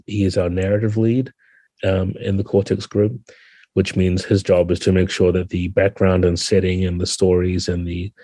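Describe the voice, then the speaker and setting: A man's voice: low-pitched, mellow, breathy edge, no room sound. A man speaking as an interview guest, thoughtfully explaining game design in a conversational way.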